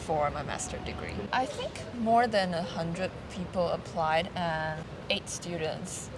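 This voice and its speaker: A woman with a fairly low voice talks in a calm, measured way. She is recorded outdoors. Passing vehicles are loud in the background. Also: background noise. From Taiwan. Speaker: interpreter, guest. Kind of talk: casual interview answers. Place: outdoors by a street.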